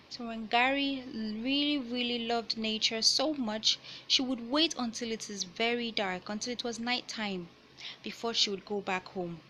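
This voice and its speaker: A woman reading a children's picture book aloud in a deliberate, teacherly way, pausing to explain and repeat key points. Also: a little high, sharp tone, slight background noise, poor audio. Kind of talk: read-aloud storytelling with explanatory asides.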